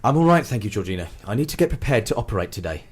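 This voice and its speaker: A man's voice: fairly low pitch, sing-song, very fast, slightly rough. A man playing a troubled hospital doctor in a radio drama, polite but menacing, with stiff formal phrasing. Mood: brisk, polite.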